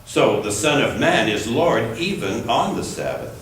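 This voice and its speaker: A very echoey recording, picked up at some distance from the mic, carries a man reading scripture in church. His delivery is slow and deliberate, with careful phrasing suited to reading aloud. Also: mid-range voice, very animated, fairly bright tone. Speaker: scripture reader at a church service. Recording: echoey room, distant mic, faint noise.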